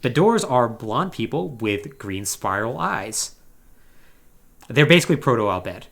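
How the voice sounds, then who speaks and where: An animated man hosts a gaming podcast, retelling a story in a casual recap style. His pitch moves around a lot as he talks, and he drops in wry, conversational asides. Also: unhurried, creaky. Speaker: podcast host. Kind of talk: casual plot recap commentary on a video game podcast.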